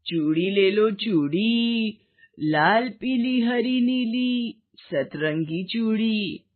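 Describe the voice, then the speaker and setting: A woman's voice: fairly high pitch, expressive, very slow, full character voice. A woman voicing a poor, pleading old bangle seller in a moral story cartoon, on a thin recording. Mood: calling out. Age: older. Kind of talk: animated moral story dialogue. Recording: thin phone-like band.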